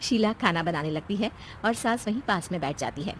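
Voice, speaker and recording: A woman's voice: fairly low pitch, expressive, a little fast. Woman narrating a children's story, noisy background.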